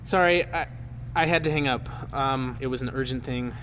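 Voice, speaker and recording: An actor playing an awkward, apologetic, fond man leaving a phone message, halting and sheepish, in a naturalistic audio drama. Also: medium pitch, fairly bright tone, slight background noise, lightly acted, rough recording.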